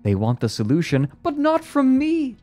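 A man voicing a tormented robot in an audiobook story, pleading and frantic in a shrill voice. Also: wildly swooping pitch, normal speed, dark tone, faint music behind, heavily acted. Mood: frantic, anguished.

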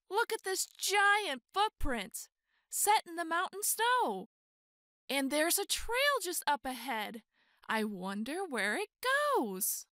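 A woman reading a children's picture book aloud in an expressive, exclaiming way with drawn-out emphasis. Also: sits high, no echo, clean studio sound. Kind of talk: animated children's read-aloud.

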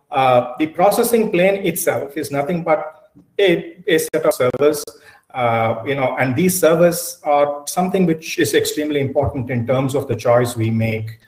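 A middle-aged man giving an explanatory online conference presentation, pausing often with hesitations. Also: on the high side. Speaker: keynote speaker, technology executive. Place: indoor room, on a video call. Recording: roomy, echoey video-call audio.

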